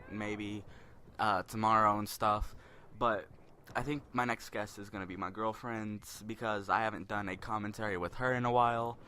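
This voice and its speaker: A young man with a deep voice giving rambling, spontaneous commentary over gameplay, a little self-conscious. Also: sharp tone, a little hiss or hum.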